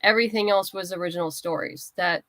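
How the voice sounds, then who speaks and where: A woman's voice: on the low side, quick pace, pushed and bright, no room sound. A woman speaking casually as a guest writer in an interview, explaining her creative process and acting out little asides.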